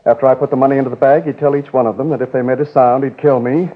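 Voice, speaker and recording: An actor playing a nervous, sarcastic bank manager in a querulous, flustered manner, in 1950s radio drama style. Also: male voice, mid-range voice, steady tone, racing, close to an everyday voice. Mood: shaken. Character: fussy, nervous, sarcastic male bank manager, a robbery victim. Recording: old 1950s radio recording, narrow tinny band.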